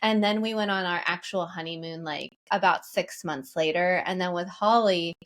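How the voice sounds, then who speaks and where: A young woman co-hosting a casual podcast, relaxed and rambling, with lots of fillers. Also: lowish voice, moderate pace. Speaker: podcast co-host, marketing officer. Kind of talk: casual chat.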